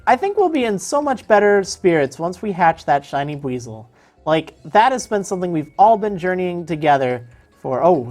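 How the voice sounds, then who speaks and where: Chatty woman streamer with a tired voice. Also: on the low side. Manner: chatty, answers viewer chat while playing. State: voice strained and tiring. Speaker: game streamer. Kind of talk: casual game stream chat.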